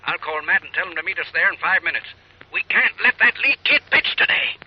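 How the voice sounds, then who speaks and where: An actor playing an irate, commanding officer of a hooded gang in 1940s radio style, curt and husky, on a dull old radio recording. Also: male voice, sits high, lively intonation, a little fast. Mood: urgent, commanding.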